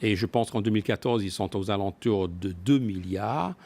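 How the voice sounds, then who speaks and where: A man speaking as an expert guest in a broadcast business interview, often pausing to rephrase and repeat his words. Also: lowish voice, gravelly, a little mellow. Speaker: business expert guest, former company executive.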